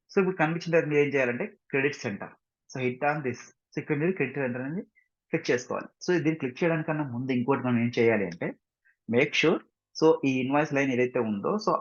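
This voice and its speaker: An Indian-accented man talks quickly through a software tutorial in a slightly husky voice. He explains step by step in an informal way, slipping in English phrases and often checking that learners follow. The recording is dull and lo-fi. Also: medium pitch. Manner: repeatedly checks understanding with short questions. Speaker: software instructor. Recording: dull, muffled lo-fi microphone.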